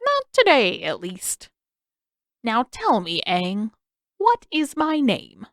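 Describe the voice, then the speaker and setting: A manic, cackling old king with a wild grin in his voice, put on by a woman reading a fan story aloud. He teases and baits his listeners, and his lines rise a little higher than her plain telling. The recording is close and dry, with no room sound. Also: huge pitch swings, slow pace, a bit bright, no room sound, full character voice. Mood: sly, playful. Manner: theatrical, teasing, grinning riddler.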